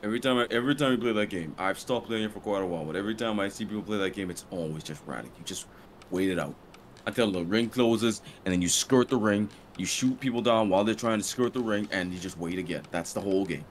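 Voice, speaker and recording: A man chatting and reacting loudly while gaming with friends, swearing freely. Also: on the low side, fast, slight background noise. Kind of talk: casual gaming chat.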